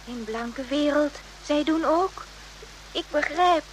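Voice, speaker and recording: An actress playing a gentle, steadfast Vietnamese hospital helper in a radio drama, speaking halting, broken phrases. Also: female voice, high voice, a little hiss or hum, rough recording. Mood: earnest, patient. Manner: broken, halting Dutch in short simple phrases.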